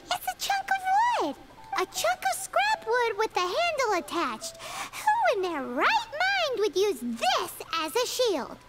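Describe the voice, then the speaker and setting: Super high squeaky little fairy kid from a game, blunt, swoopy, music blasting behind. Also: female voice, huge pitch swings, takes it slowly, full character voice. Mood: scornful. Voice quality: squeaky, childlike. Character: tiny childlike floating fairy companion, blunt and skeptical. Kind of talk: video game voice acting.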